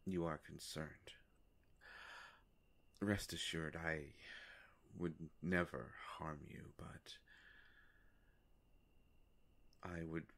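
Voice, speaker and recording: A man playing a courteous, ancient vampire in a roleplay audio drama, speaking in a halting, formal, soft-spoken way. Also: rumbling low, flat delivery, gravelly, full character voice. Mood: gently reassuring. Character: courteous, ancient male vampire, gentle and hesitant.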